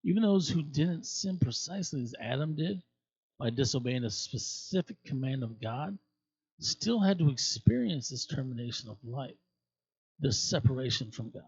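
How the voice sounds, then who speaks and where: Husky man reading scripture aloud. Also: medium pitch, animated, moderate pace, a little mellow, no echo, highs cut off. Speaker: scripture reader at a church.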